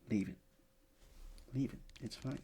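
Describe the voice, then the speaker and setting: A man who is not a native English speaker, chatting softly in his bedroom for ASMR, rambling and often losing his thread. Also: medium pitch, a little slow. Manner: rambling, scatterbrained, often restarting; mostly whispered, soft and unvoiced. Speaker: ASMR creator. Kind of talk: soft-spoken ASMR chat. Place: bedroom at a desk. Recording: home recording with clear background noise. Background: crinkling and crumpling of objects.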